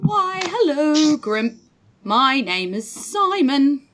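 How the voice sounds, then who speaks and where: A woman voicing an eager, showy male pigeon adventurer in a tabletop game, with grand, drawn-out politeness. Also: high voice, sing-song, fairly bright tone, voice pushed up, exaggerated voice. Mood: polite, grand.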